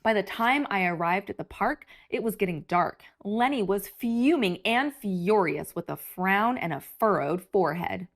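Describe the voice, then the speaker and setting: A woman reading a picture book aloud for children with expressive, theatrical emphasis. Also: mid-range voice, normal speed, few pauses. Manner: animated, theatrical emphasis for young listeners.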